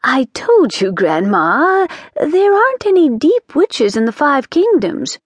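A woman voicing a fussy, confiding witch who chatters in a high voice and drops into whispers. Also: sing-song, takes it slowly, no room sound, heavily acted.

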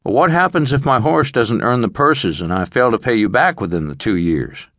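A man's voice: mid-range voice, expressive, moderate pace, fairly bright tone, no echo, voice pushed up, natural voice. A man voicing an uneasy local small businessman, cautious, plain-spoken and a little hesitant.

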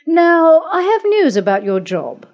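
A woman's voice: very high voice, very animated, unhurried, highs cut off, pitched above the performer's own voice, full character voice. A woman voicing a cold, domineering female assessor who speaks in a clipped, commanding way.

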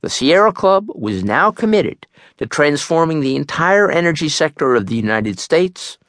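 A man reads a nonfiction memoir as an audiobook narrator, measured and articulate, in a studio. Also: medium pitch, dry recording.

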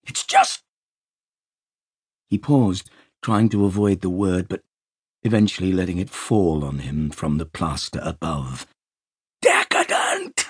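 Husky, low-voiced male audiobook narrator. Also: steady tone, normal speed, a little mellow, no room sound, studio quality. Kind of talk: expressive literary narration.

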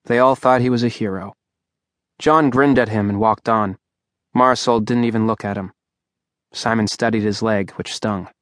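A man's voice: on the low side, fairly even intonation, moderate pace, fairly bright tone, no echo. A man reading an audiobook aloud in a dry, wry storytelling manner at a studio microphone. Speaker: audiobook narrator. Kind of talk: scripted narration.

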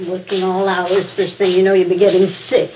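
A woman's voice: fairly low pitch, little pitch movement, fairly quick, close to an everyday voice. An actress playing a doting older American mother who fusses and nags in a homely way, in 1950s radio drama style. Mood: fussing. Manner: fussing, nagging, homely. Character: fussing, doting older mother of a police detective. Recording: muffled, noisy old radio recording.

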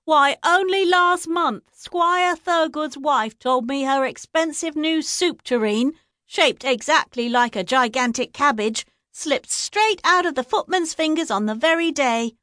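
A shrill, breathless village gossip, as a woman reader puts her on, piping up high and odd in tone against the plain narration. She gushes over every scandal with dramatic relish. The sound is close and dry, with no room around it. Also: unhurried, a bit bright, no echo.

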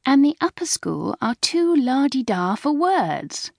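A woman's voice: sits high, very animated, a little slow, gravelly, a little mellow, no echo, clean studio sound, voice pushed up, heavily acted. A woman voicing an eager, gushing young teenage schoolgirl in a storybook. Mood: cheeky. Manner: exclaiming, gushing schoolgirl slang. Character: excitable, eager schoolgirl in her early teens.

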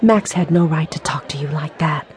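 An actress playing a trusting, lovestruck young woman in an audio drama, soft and earnest. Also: low voice, moderate pace, some chatter behind, natural voice. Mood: sympathetic. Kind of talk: radio drama acting.

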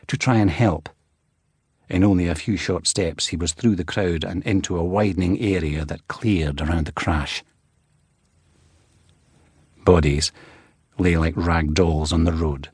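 A man narrates a thriller audiobook in a low, husky voice. His storytelling is measured and dramatic. The sound is dry, with no room on it. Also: dry recording.

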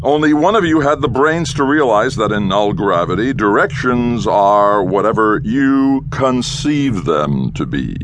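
Low-voiced, scornful military colonel. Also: male voice, lively intonation, a little slow, few pauses, noisy background. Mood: sneering, demanding. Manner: barking, sarcastic, interrogating. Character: harsh, scornful military colonel berating young recruits. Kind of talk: full-cast audio drama.

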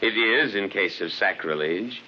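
An actor playing a pompous, mocking imperial governor and soldier, in 1950s radio acting with a dull recording. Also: male voice, lowish voice, lively intonation, measured pace, pushed and bright, a little hiss or hum, heavily acted. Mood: scornful. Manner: pompous, mocking, laughs at others.

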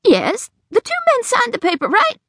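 Brief: a woman doing a frantic, cheated housewife in a storybook, high and trembling, voice leaping all over. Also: wildly swooping pitch, normal speed, vocal fry, pushed and bright, no echo, studio quality, full character voice. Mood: anxious. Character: distraught middle-aged woman cheated of her savings.

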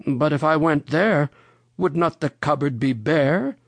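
A reader voicing a genial, excitable old gentleman rabbit from a storybook, polite and given to exclaiming. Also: mid-range voice, a little slow, no echo. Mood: polite, resigned. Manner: polite, exclamatory, wondering aloud.

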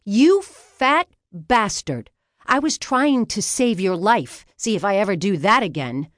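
A woman's voice: mid-range voice, animated, a little slow, no room sound, clean studio sound, voice pushed up, lightly acted. A woman voicing a feisty, sarcastic female rookie private investigator, blunt in manner, in an audiobook story. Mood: incensed.

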